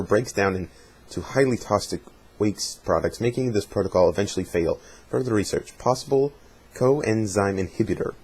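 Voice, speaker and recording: Male voice, lowish pitch, husky and rough, measured dramatic reading, amateur narrator. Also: darkish tone, rough recording. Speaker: amateur reader of fan fiction journal. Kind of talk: dramatic reading of a journal with casual asides.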